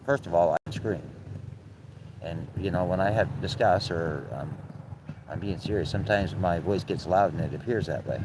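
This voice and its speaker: Blunt, low-voiced man swearing on a live stream. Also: background noise. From Canada. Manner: blunt, swears freely, repeats himself. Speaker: livestream host. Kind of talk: casual live stream chat reading comments.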